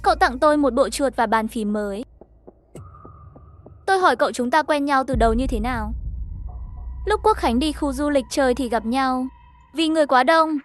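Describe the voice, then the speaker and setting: Woman doing scripted story narration, pretty high voice, music playing behind her. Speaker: narrator of an animated horror story recap. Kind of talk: scripted story narration, reading all characters' dialogue.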